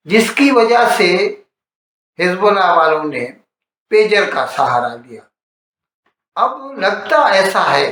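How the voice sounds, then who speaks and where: A man with a high-pitched voice explains current affairs in a conversational monologue. He speaks slowly, restating phrases for emphasis like a storyteller. The recording rings with heavy room echo. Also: sharp tone. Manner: storytelling, repeats and rephrases for emphasis. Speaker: news commentator. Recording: strongly echoing, reverberant room.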